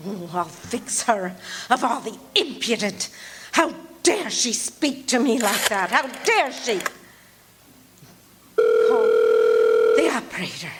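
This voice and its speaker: A woman's voice: on the high side, sing-song, takes it slowly, long pauses, noisy background. An actress playing a nervous, demanding, self-pitying bedridden woman in a 1940s-style thriller radio play, fretful and melodramatic. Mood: indignant. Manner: imperious, fretful, querulous, melodramatic. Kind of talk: live stage radio drama acting, 1940s thriller.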